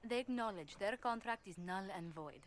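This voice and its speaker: A woman's voice: medium pitch, lively intonation, moderate pace, a little hiss or hum, close to an everyday voice. An actress playing a poised female ambassador and former bard in a video game, speaking formally and with composure. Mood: composed.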